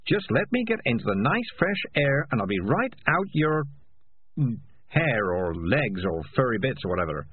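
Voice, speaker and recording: A man's voice: medium pitch, big pitch swings, normal speed, breathy edge, phone-quality sound, voice pushed up. A man voicing a cynical, self-serving talking cat who wheedles and justifies himself. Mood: wheedling.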